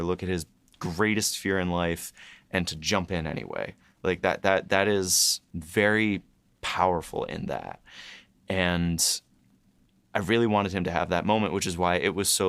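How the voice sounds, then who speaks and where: Deep-voiced man chatting, smooth and close. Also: fairly bright tone, dry recording. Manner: hesitant, rambling, repeats words while thinking. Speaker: writer and co-host of a behind-the-scenes podcast. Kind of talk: casual chat, reflecting on writing.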